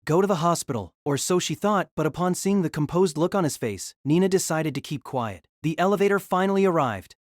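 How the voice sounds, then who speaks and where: A man's voice: a little high, expressive, fairly quick, hardly any pauses, dry recording, clean studio sound. A man reading scripted dramatic narration for an audio drama.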